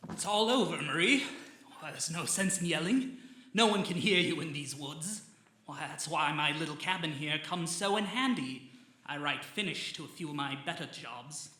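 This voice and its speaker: An actor playing a cold, scheming killer cousin, theatrical and smugly menacing in old-time radio melodrama style. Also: male voice, fairly high pitch, normal speed, sharp tone. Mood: smug menace. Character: cold, scheming male murderer, gloating greedy cousin. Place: theatre stage before a live audience. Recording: echoey live stage recording.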